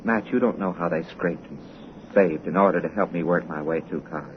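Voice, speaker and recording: An actor playing an earnest, gentle young American drifter in an old radio drama, speaking politely and expressively with an American accent. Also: male voice, deep voice, brisk, lightly acted. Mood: wistful. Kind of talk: 1930s radio drama acting. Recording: muffled, noisy old radio recording.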